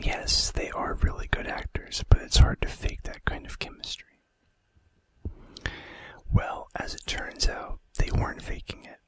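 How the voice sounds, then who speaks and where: Whispering man, breathy ASMR narrator. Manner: hushed, deliberate scripted reading with soft casual asides. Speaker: ASMR video host.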